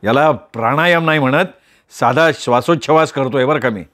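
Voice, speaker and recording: A man's voice: moderate pace, a bit bright, natural voice. A man voicing an earnest shy young man. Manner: hesitant, earnest, low-key. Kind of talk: storytelling with voiced characters.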